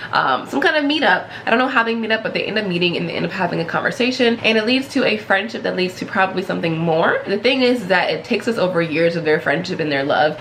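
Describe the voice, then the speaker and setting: A chatty young woman with a slightly stuffy, congested voice sits low in her register as she talks warmly about books. She laughs easily and calls her audience y'all, with a faint hiss of room noise behind her. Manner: chatty and effusive, laughs often, says y'all. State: stuffy from a cold. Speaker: book vlogger. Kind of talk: casual book haul chat.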